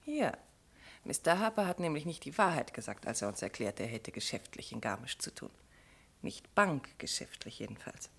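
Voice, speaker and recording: An actress playing a detective's chatty, observant and affectionate wife in a 1950s radio play, confiding and animated in her storytelling. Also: very deep voice, moderate pace, gravelly, husky, full character voice. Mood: gossipy, delighted.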